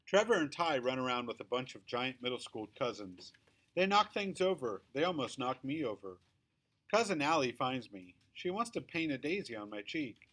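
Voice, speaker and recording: A man narrates a children's chapter book in a middle-pitched voice. He reads the scripted first-person story with expression, leaning on key words as a storyteller does. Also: sing-song, normal speed, fairly bright tone. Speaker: read-aloud storyteller.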